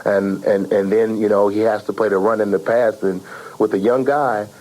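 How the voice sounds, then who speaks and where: A man giving casual, halting answers as a football player in a sports interview. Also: on the low side, steady tone, a little mellow, poor audio.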